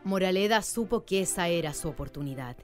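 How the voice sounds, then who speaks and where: A woman narrating a scripted radio drama in a measured, literary storytelling manner. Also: fairly low pitch, lively intonation, soft background music.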